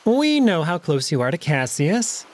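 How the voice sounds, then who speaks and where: A man plays a jackal noble from a visual novel, pitched a little high for a male voice. He is stern and scheming. He speaks briskly and tersely, like someone used to giving orders. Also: big pitch swings. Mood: cold, threatening.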